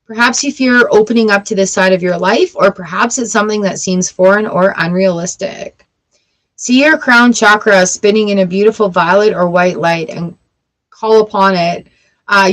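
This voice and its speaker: A woman hosting a live tarot reading, reading card meanings aloud and reassuring her viewers in a gentle, encouraging way. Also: medium pitch, moderate pace, low-quality recording. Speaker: tarot reader.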